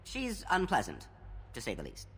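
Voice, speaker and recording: A jumpy construction worker in a game, voice swings a lot, big echoey noisy space. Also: male voice, mid-range voice, wildly swooping pitch, moderate pace, fairly bright tone. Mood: uneasy. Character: frightened male construction worker, grateful and nervous. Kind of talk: video game acting.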